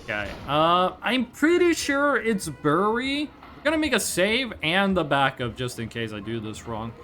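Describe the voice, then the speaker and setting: A man from Norway who speaks Norwegian natively, with a high voice that moves around a lot in pitch. He talks slowly and ramblingly as he streams a game, casual and curious, with music playing clearly behind him. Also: sing-song, pushed and bright. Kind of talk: casual livestream chat over gameplay.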